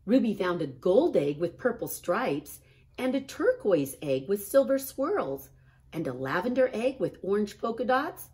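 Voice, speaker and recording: A middle-aged woman, a kindergarten teacher, reading a picture book and chatting to children in an expressive style. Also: medium pitch, measured pace. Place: small classroom, indoors.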